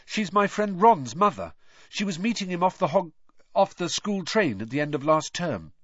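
A cautious, polite teenage boy as a male narrator puts him on. The voice sits higher and moves in a lively way, careful and innocent in manner. It is a storybook reading. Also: normal speed, no room sound, muffled recording. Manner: careful, trying to sound innocent.

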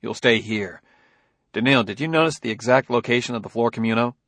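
Man playing a gruff, husky detective father. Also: medium pitch, fairly quick, a bit bright, no room sound. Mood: decisive. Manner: curt, commanding, tense. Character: gruff, impatient plainclothes detective father, science fiction audiobook.

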